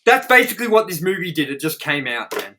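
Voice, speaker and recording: Bright-voiced, foul-mouthed Australian man. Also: a little high, big pitch swings, quick pace. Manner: ranting, crude, heavy swearing. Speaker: podcast host. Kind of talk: casual profane film review banter.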